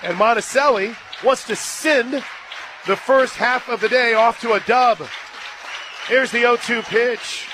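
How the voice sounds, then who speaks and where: A man calling a live softball game on radio from a stadium booth, climbing into excited shouts on big plays and laughing easily. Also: very high voice, measured pace, a crowd in the background, noisy background. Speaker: radio play-by-play announcer. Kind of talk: live radio sports play-by-play.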